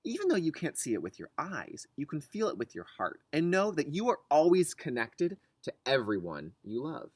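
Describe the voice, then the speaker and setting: An adult reader voicing a calm, reassuring mother of young twins in a picture book. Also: mid-range voice, normal speed, hardly any pauses, breathy edge, no room sound. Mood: gently explaining.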